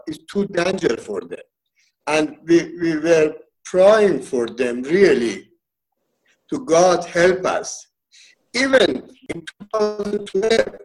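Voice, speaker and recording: A man's voice: a little high, a little mellow, rough recording. A man from Iran with a Persian accent, Farsi his first language, telling stories as an interview guest in earnest, broken English. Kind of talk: casual interview.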